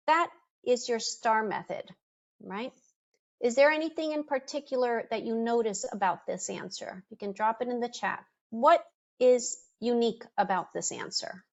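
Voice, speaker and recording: A woman whose first language is Spanish presents a career webinar over a video call. She speaks in a quick, conversational coaching tone with frequent ums. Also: a little high, lo-fi sound.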